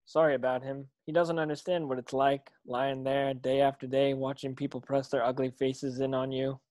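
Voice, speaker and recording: A man's voice: mid-range voice, little pitch movement, normal speed, a little mellow, close to an everyday voice. A man playing a meek, lonely, polite boy in an amateur screenplay table read. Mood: gentle, curious.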